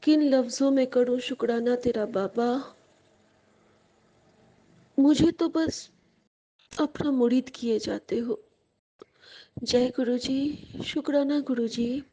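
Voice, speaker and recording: A woman's voice: sits high, takes it slowly, pauses often, mellow, highs cut off. A woman reciting a devotional prayer of thanks in a reverent, prayerful manner.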